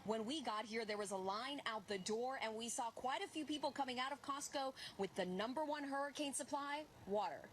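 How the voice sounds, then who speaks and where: Higher-pitched woman reporting live on location. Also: a little fast, hardly any pauses, a little hiss or hum. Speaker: news field reporter. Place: outdoors in a store parking lot.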